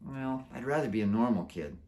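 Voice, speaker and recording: A man's voice: mid-range voice, animated, brisk, dark tone, natural voice. A man voicing a curious, earnest middle-school boy werewolf in a children's storybook, polite, questioning and a little hesitant. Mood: persistent, curious. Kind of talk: children's book character voice.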